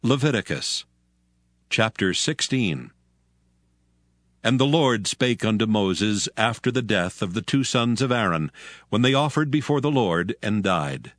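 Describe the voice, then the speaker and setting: A male narrator with a somewhat low voice announces and reads scripture solemnly, at a measured gait. The sound is close and dry. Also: animated, a bit bright, dry recording.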